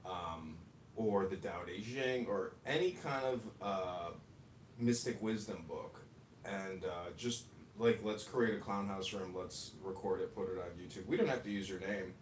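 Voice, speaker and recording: A man's voice: low voice, unhurried. Man, podcast host, rambling chat, roomy echo, faint noise. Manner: rambling, laughing, swearing. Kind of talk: casual chat. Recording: echoey room, distant mic, faint noise.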